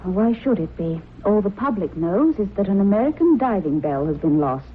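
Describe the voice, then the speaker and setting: A woman's voice: medium pitch, very animated, moderate pace, slight background noise, natural voice. Woman acting a poised radio-drama wife, tinny recording. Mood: brisk, sensible. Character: poised, inquisitive woman scriptwriter, sensible wife.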